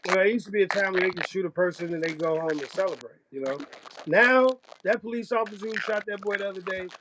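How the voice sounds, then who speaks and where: A man with a head cold speaking as a panelist on a video call, explaining his view in a measured way. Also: fairly high pitch. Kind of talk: casual panel discussion. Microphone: video call, camera off. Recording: loud background noise.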